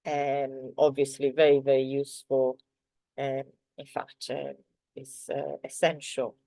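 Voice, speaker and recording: A woman's voice: rumbling low, completely flat delivery, measured pace, creaky, mellow, no room sound. A woman from Sardinia with an Italian accent, a native Italian speaker, teaching an online lesson in a hesitant way full of fillers. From Sardinia, Italy. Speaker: language teacher.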